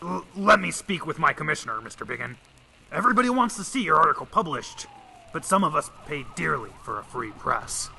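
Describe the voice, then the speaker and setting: A hard-boiled police detective from a radio play. His voice is somewhat high, piercingly forceful and gravelly, gruff and clipped, with a faint hiss of noise behind it. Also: big pitch swings, brisk, heavily acted, low-quality recording. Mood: wary, grudging. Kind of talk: old-time radio drama acting.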